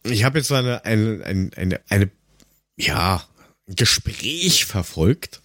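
Man with lively, swinging intonation, dry close sound. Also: fairly low pitch, takes it slowly, dry recording. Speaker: podcast host. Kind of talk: casual humorous podcast chat.

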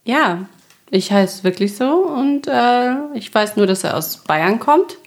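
A woman's voice: mid-range voice, lively intonation, slow pace. A woman from Upper Franconia, speaking standard German, chatting and joking as a podcast guest and laughing often. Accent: standard German, Franconian dialect dropped. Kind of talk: casual chat.

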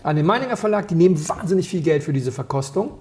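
A man from Berlin chatting casually on a podcast as co-host, rambling through anecdotes with quick asides and self-corrections. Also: sits high, animated, darkish tone. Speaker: podcast co-host and wine taster.

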